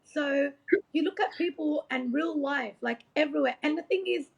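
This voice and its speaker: A woman's voice: sits high, moderate pace, creaky. A woman from Iraq speaking as a motivational coach in an informal interview, earnest, rambling and encouraging, often saying like and you know. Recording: dull, lo-fi audio.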